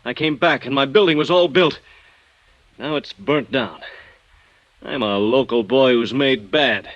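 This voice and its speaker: An actor playing a bitter, resigned architect just beaten up, speaking with halting, deliberate irony in 1950s radio drama style. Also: male voice, mid-range voice, a bit bright, no echo, highs cut off, natural voice. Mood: bitterly reflective.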